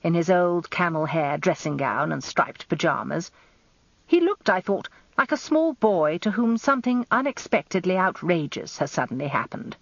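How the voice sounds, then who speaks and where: A woman's voice: fairly low pitch, expressive, normal speed, gravelly, no room sound, highs cut off. An older woman with an English accent giving scripted first-person dramatic narration as a barrister's wife, confiding and wry.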